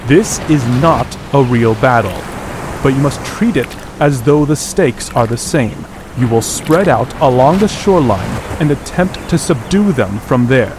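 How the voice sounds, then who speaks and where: An actor playing a proud, commanding dragon lord in an audio drama, speaking in formal, stately phrases. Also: male voice, mid-range voice, lively intonation, a little slow, natural voice. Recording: clearly noisy background.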